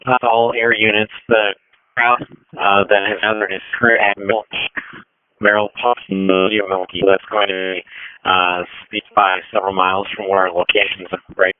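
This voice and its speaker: Male radio coordinator, low monotone, procedural and halting, dry narrowband police transmission. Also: clean tone, no room sound. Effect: police two-way radio. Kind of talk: radio dispatch traffic.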